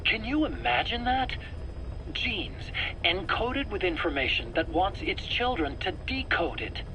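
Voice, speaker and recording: A man's voice: high voice, sing-song, a little slow. An actor playing a nervous, self-justifying male scientist in a video game interrogation, stammering and earnest. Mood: earnest, intrigued. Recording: noisy cassette tape interrogation recording.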